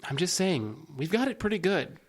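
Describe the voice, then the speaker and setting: A man playing a calm, reassuring young friend in a naturalistic audio drama, gently reasoning. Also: sits high, moderate pace. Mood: placating.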